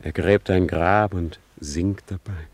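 A man acting a brooding, ironic young prince in a classical radio drama, with measured, articulate, dramatic delivery. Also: low-pitched, fairly even intonation, exaggerated voice. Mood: musing.

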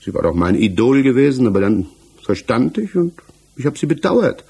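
A man's voice: medium pitch, big pitch swings, vocal fry, dark tone, husky, exaggerated voice. An actor playing a defensive, hesitant married village tradesman under questioning in a 1960s German radio play, searching for his words. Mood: reflective, uneasy. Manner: halting, searching for words, evasive.

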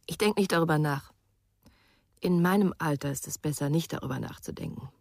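An actress playing a guarded, world-weary mature woman in a radio drama, understated and husky. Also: sits low, brisk, darkish tone, no room sound, clean studio sound. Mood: guarded, resigned. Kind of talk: German radio drama acting.

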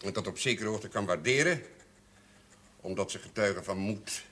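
An actor playing a haughty older notary in a television period drama, formal and condescending, on an old soundtrack. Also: male voice, lowish voice, normal speed, sharp tone, slight background noise. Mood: smooth, condescending. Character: haughty, indignant older male notary, smoothly manipulative.

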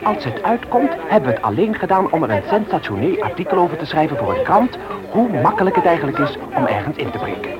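A man's voice: a little high, sing-song, fast, over loud music. A young man playing a cocky schemer in a radio play. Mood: eager, scheming. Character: cocky young male schemer planning a burglary.

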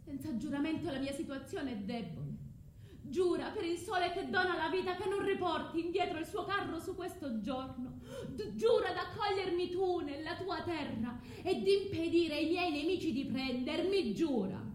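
Vengeful sorceress, high-pitched, impassioned, theatrical, radio drama, echoey room, faint noise. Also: female voice, expressive, normal speed. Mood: commanding, urgent. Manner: impassioned, pleading, incantatory, mocking.

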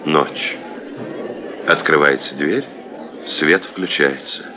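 A man giving scripted narration as a radio play announcer, formal and measured in his delivery. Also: very deep voice, a crowd in the background.

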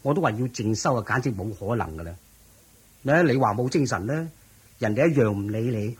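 A man's voice: mid-range voice, expressive, fairly quick, slightly rough. A man playing a weary, put-upon music master in a radio drama, hesitant and mildly protesting, with a Hong Kong Cantonese accent.

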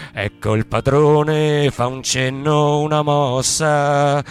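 A man singing a narrative Italian folk protest song as part of a theatre performance. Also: fairly high pitch. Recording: singing with musical accompaniment.

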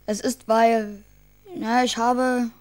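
A woman's voice: on the high side, level tone, slow pace, clean tone. A woman playing an imaginative, secretive and stubborn little schoolboy in a children's radio play, cheeky and musing in manner. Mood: hesitant, cheeky.

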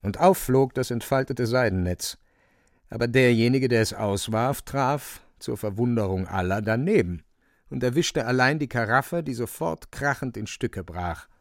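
A man narrates a literary radio play at a mid pitch, very close and dry. His storytelling is measured and precise. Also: expressive, no room sound. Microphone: close studio microphone.

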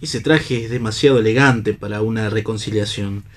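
An actor in a radio play voicing an idealistic worker-philosopher, declamatory and sententious. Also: male voice, fairly low pitch, moderate pace, close to an everyday voice. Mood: knowing. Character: idealistic man in worker's clothes, preachy philosopher. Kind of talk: read radio theatre.

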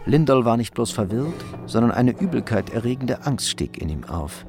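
Male narrator, medium pitch, widely varied intonation, scripted crime storytelling. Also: very animated, normal speed. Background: music.

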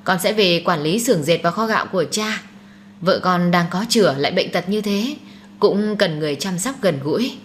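A woman's voice: fairly low pitch, moderate pace, a little hiss or hum, close to an everyday voice. A woman voicing an earnest, devoted and resolute young husband in an audiobook melodrama. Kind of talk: dramatised audiobook storytelling.

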